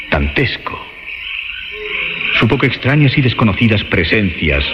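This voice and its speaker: Very deep, ominous male horror narrator. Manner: dramatic, ominous, emphatic repetitions and pauses. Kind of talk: theatrical scripted horror radio drama narration. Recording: dull lo-fi radio sound. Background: music.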